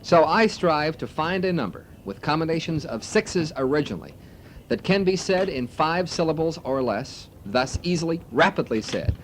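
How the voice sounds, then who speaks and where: A man's voice: sing-song, normal speed. A man working as a radio program manager, giving candid, detailed explanations in an interview recorded on tape. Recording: noisy 1970s tape with low rumble.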